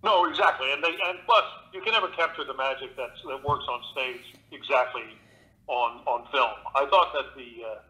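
An older man speaks with a bright, forceful tone, earnest and thoughtful, often circling back to restart a phrase. He sounds like a guest talking over a remote call. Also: mid-range voice, normal speed, rough recording. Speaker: guest actor and narrator. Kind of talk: reflective interview talk.

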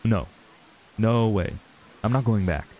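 A man acting a stressed, earnest young runaway in an intimate audio roleplay, speaking haltingly with sighs. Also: lowish voice, warm tone. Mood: weary, hesitant. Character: stressed young man, frustrated runaway reuniting with childhood friend.

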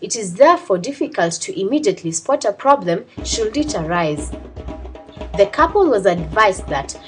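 Woman, scripted documentary voice-over, medium pitch, lively melody in delivery, soft music behind. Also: normal speed. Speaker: narrator.

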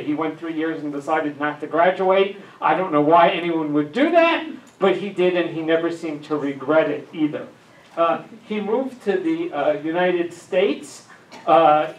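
An older man giving an academic lecture with a handheld mic, full of "uh" fillers and dry asides. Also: fairly high pitch. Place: dim lecture room with projector. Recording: echoey room, camera far off.

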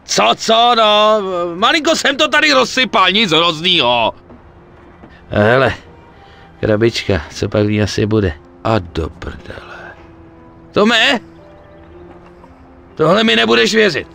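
A male actor in a dubbed video game, playing an excitable, greedy gangster sidekick who swears and wheedles. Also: high voice, creaky, long pauses, soft background music, exaggerated voice. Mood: flustered.

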